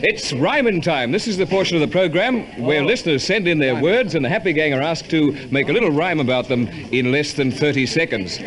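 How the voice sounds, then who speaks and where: A man's voice: on the high side, expressive, brisk, crowd noise. A man hosting an old-time radio show, reading ads and introducing segments in an upbeat announcer manner. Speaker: radio host. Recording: old radio broadcast with studio audience.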